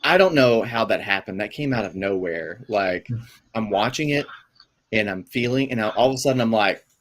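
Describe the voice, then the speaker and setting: Low-voiced man musing on a podcast. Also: expressive, normal speed. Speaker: podcast co-host. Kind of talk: casual discussion over a call.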